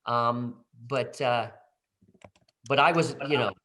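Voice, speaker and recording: A man's voice: medium pitch, fairly even intonation, slow, a bit bright, low-quality recording. A man who directed a play, speaking in a casual video-call talkback, rambling with many restarts and fillers.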